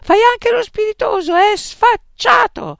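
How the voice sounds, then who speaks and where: A reader voicing an angry old father who yells and scolds his son. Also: very high-pitched, expressive, measured pace, creaky, no room sound, studio quality, voice pushed up, exaggerated voice. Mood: ranting. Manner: yelling, scolding.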